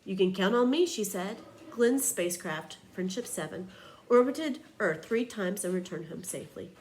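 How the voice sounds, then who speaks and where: A woman reading a children's picture book aloud like a teacher, with expressive, emphatic storytelling. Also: medium pitch, normal speed.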